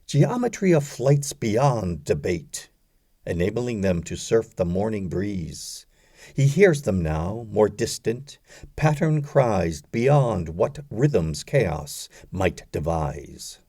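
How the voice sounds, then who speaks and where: A man narrating a poetry audiobook, reciting scripted verse in a measured, deliberate way. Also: lowish voice, big pitch swings, warm tone, slightly rough, no room sound, studio quality.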